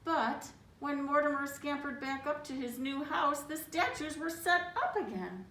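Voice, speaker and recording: A woman reads a picture book to young children with dramatic emphasis. Her fairly high voice moves up and down freely at an unhurried pace. She sounds slightly away from the mic, adding small asides as she goes. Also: animated, fairly bright tone. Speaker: storybook reader. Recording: roomy, distant mic, faint noise.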